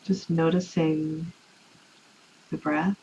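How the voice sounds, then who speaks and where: A woman leading a guided relaxation meditation in an unhurried, soothing way, leaving long pauses. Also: sits low, little pitch movement, a little mellow. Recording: muffled, with clear background noise.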